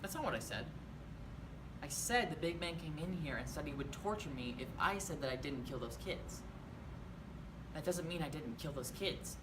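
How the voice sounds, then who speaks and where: A man's voice: fairly high pitch, natural voice. A young man acting a monologue as a disturbed, childlike young man who talks about terrible acts in a matter-of-fact, eerily casual way. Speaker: actor performing a monologue. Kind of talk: theatrical monologue. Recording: distant mic with clear background noise.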